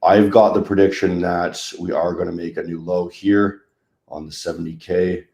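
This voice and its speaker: A man's voice: deep voice, little pitch movement, normal speed, vocal fry, darkish tone, echoey room. A man hosting a casual trading livestream, chatting loosely over charts with plenty of fillers. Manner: laid-back, chatty, many fillers.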